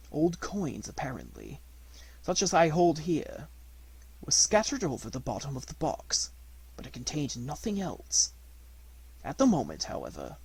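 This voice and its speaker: A man giving an expressive, deliberate amateur audiobook reading of a classic detective story, as scripted narration. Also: on the high side, gravelly, breathy edge, low-quality recording.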